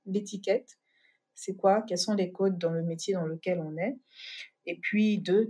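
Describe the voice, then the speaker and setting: A middle-aged woman from Côte d'Ivoire talks through her career in a relaxed, thoughtful way, filling gaps with hesitations and restarts. Her voice sits fairly low and has a slightly husky, breathy grain. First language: not English. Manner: thoughtful, many fillers and restarts, laughs easily. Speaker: podcast guest, company founder. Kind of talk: relaxed conversational interview.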